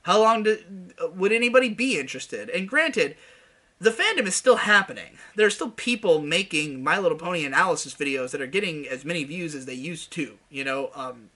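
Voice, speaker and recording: A man's voice: a little high, animated, a little fast, pushed and bright. A man giving casual, opinionated commentary in an informal, sweary way, thinking aloud as he goes.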